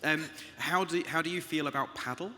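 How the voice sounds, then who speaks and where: A man's voice: on the high side, a little fast, sharp tone, a little hiss or hum. A British man moderating a conference panel Q&A on stage, lightly laughing and stumbling over names. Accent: British English. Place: large hall, conference stage. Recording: hall reverb, faint ambience.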